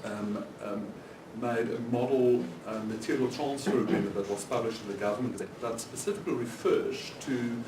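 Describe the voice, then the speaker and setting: A man's voice: medium pitch, normal speed, few pauses. A man, a legal researcher, giving panel remarks in a hesitant way, with many ums. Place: conference hall. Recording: echoey hall, distant mic, noisy.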